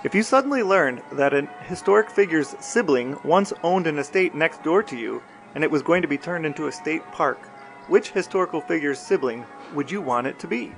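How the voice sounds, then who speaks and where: A man with a somewhat high voice co-hosts a podcast. He chats casually and conversationally, with faint music in the recording. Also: animated, brisk. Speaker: podcast co-host. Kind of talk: casual chat.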